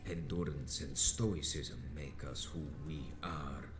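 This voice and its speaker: An ancient, proud vampire lord speaks from the very bottom of the range. The delivery is stern and imperious. The voice rings in a roomy echo, with music playing behind. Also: male voice, a bass voice. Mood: proud, grave. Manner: slow, grave, formal and imperious. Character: ancient, stern male vampire sect elder, menacing and proud. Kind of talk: theatrical video game dialogue.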